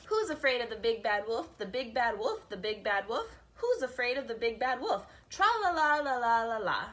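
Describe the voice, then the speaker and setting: A woman's voice: on the high side, very animated, normal speed, a bit bright, a little hiss or hum. A woman voicing carefree, playful young male pigs from a storybook in a teasing, singsong manner. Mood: merry singsong.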